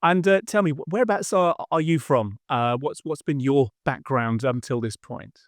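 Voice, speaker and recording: A man's voice: mid-range voice, normal speed, dry recording, studio quality. A man hosting a business podcast, introducing the show and asking questions in a friendly, conversational way. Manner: friendly, conversational, some restarts. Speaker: podcast host, interviewer.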